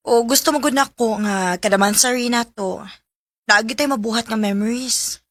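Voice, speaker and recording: A woman's voice: a little high, normal speed, fairly bright tone, dry recording, clean studio sound, natural voice. A woman playing a free-spending young girlfriend. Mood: coaxing. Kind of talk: amateur radio drama acting.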